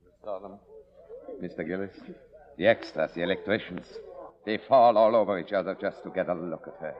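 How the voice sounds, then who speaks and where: An actor playing a formal, devoted manservant in an old radio drama, stately and deferential. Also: male voice, sits low, a little fast, muffled recording, natural voice. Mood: proud. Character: solemn, devoted, formal manservant to a grand lady. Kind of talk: 1950s radio drama acting.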